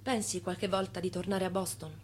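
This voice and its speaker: An actress playing an estranged former wife in a radio drama, restrained and melancholy, putting short, measured, probing questions. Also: female voice, fairly low pitch, flat delivery, a little hiss or hum, close to an everyday voice. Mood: gentle, probing.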